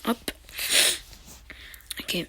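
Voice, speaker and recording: A young man commenting on his own mobile gameplay, with a sore throat and a slight cold, switching between excited slangy cheering and quiet muttering under his breath. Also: very slow, whispered. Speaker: mobile game youtuber.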